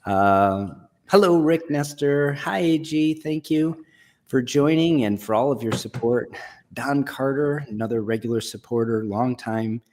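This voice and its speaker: A middle-aged man hosts a live stream from his home room, talking over a video call in a laid-back, chatty, self-deprecating way. His voice sits at an ordinary middle pitch. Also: unhurried, darkish tone. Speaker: host. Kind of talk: casual live-stream chat.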